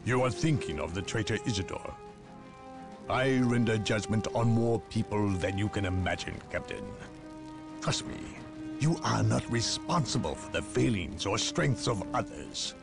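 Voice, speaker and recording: A grave, solemn inquisitor. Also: male voice, fairly low pitch, animated, gravelly. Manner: solemn, measured, authoritative. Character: stern male inquisitor, grave and commanding. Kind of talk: game cutscene voice acting. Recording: game audio with music behind.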